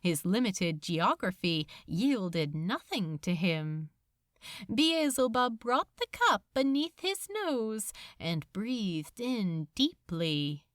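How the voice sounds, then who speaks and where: A woman's voice: mid-range voice, sing-song, slow pace, no room sound, studio quality. A woman reading a story aloud as an audiobook narrator, in a dramatic scripted style.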